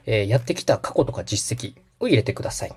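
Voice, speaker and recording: A man's voice: on the low side, expressive, quick pace, a little mellow, slightly rough. A man hosting a podcast, explaining things politely, step by step, in a how-to style. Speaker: podcast host. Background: background music.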